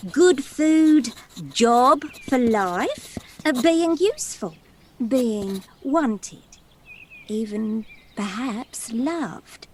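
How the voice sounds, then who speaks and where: A cheeky young damsel from a stage play, dramatic and confiding. Her voice is very high and swoops widely, yet she takes her time over the words. Faint noise sits behind her. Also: full character voice. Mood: gleeful, dreamy. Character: cheeky, theatrical young woman, a starry-eyed would-be damsel. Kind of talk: stage play storytelling monologue.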